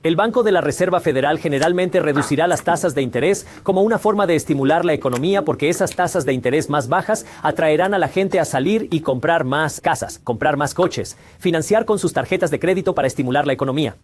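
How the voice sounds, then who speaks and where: A man's voice: a little high, a little hiss or hum. A man hosting a scripted finance explainer, laying out points in a didactic question-and-answer manner. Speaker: host of a finance explainer channel. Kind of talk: scripted explainer narration, dubbed from another language.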